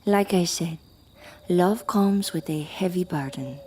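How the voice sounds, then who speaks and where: Woman acting a low, velvety dark vampire lover. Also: breathy edge. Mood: tender, ominous. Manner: solemn, formal, deliberate phrasing. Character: possessive ancient vampiric female lover, solemn and seductive. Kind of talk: gothic horror audio drama acting.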